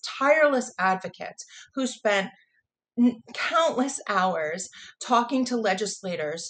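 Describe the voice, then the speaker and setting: An American woman giving earnest, emphatic interview answers as an advocate, repeating phrases for stress. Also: on the high side. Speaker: interview guest, prison phone justice advocate.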